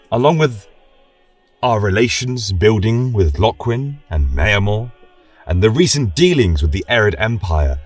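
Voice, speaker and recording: Man acting a husky, expressive fantasy chronicler. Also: fairly low pitch, full character voice. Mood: proud, measured. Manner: formal, deliberate, storyteller-like. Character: learned human chronicler of a fantasy kingdom, proud, wistful. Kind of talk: scripted fantasy lecture narration. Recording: roomy, distant mic with music behind.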